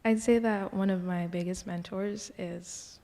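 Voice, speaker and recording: Slow-talking giggly student musician woman. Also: mid-range voice, steady tone, warm tone. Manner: giggly and casual. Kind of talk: live panel discussion. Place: large hall. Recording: long hall reverb from a live panel.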